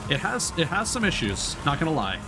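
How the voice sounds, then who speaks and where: A man's voice: on the high side, very animated, fairly quick, over music. A man livestreaming a video game, giving casual, explanatory commentary. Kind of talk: casual live gaming commentary.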